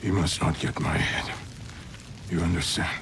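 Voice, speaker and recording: An actor playing a proud, defeated middle-aged Japanese warlord in a video game, speaking gravely and solemnly as he faces death. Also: low voice, steady tone, normal speed, fairly bright tone, a little hiss or hum, exaggerated voice. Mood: resigned, grave. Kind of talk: dramatic video game cutscene acting.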